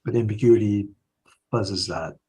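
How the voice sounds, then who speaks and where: Husky low-voiced man, analytical. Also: measured pace, dark tone. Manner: analytical, hedging. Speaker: discussion participant. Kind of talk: live podcast group discussion.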